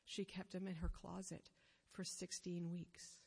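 A woman's voice: fairly low pitch, little pitch movement, gravelly, darkish tone, slightly rough. Woman preaching in an echoing hall. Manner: earnest, measured, pausing phrase by phrase. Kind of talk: sermon storytelling. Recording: long reverb of a hall.

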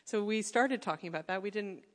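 A woman leading a sharing time in a church, speaking hesitantly and thoughtfully with many pauses. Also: medium pitch. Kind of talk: casual congregational talk. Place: church hall. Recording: echoey church hall.

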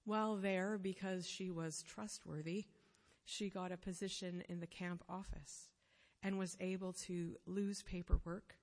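A woman giving a sermon, telling a story in an earnest, measured way, pausing phrase by phrase. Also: on the low side, creaky, darkish tone. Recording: long reverb of a hall.

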